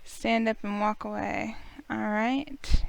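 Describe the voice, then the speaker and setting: Female playthrough host narrating a visual novel: clear, smooth voice, close and dry. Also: a little high, fairly even intonation, slow pace, no room sound. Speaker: host reading a game playthrough. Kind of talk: expressive story narration read aloud.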